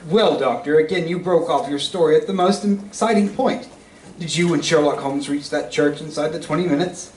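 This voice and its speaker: A genial old-time radio host, played by a man with a fairly high voice. He sounds distant in an echoey hall with clear background noise. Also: normal speed, close to an everyday voice. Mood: inviting. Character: genial interviewer-announcer prompting the doctor's story. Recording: noisy echoey stage, far from mic.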